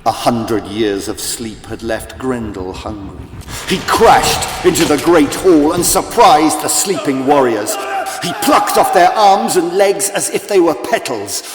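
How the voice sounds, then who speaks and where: A man narrating a children's audiobook in a theatrical, deliberate, scripted storytelling style. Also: a little high, expressive, noisy background. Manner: theatrical, deliberate, with big swells and hushes. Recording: echoey, long reverb, music behind.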